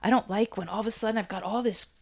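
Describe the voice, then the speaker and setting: A woman's voice: mid-range voice, steady tone, quick pace. A woman in her fifties chatting casually in an interview, rambling and laughing, full of restarts. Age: 50s. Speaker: beauty brand founder. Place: Brooklyn.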